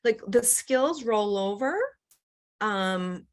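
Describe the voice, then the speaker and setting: Middle-aged woman, interview guest; loose, chatty delivery full of fillers, even middle pitch. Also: extremely slow. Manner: chatty, many fillers and restarts, laughs often. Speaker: guest, company executive. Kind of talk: casual interview.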